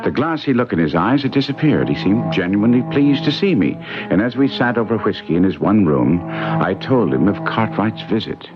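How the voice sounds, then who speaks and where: Courteous English barrister, very deep, grave, dull vintage radio sound. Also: male voice, very animated, normal speed, over music. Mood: measured, recounting. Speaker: radio drama actor. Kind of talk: 1970s radio drama acting. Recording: dull lo-fi old radio broadcast.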